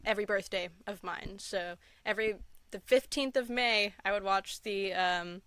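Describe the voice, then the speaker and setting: Clear-voiced, chatty young-sounding woman guest. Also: mid-range voice, moderate pace, fairly bright tone, dry recording. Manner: chatty, enthusiastic, giggly. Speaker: podcast guest. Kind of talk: casual interview chat, personal storytelling.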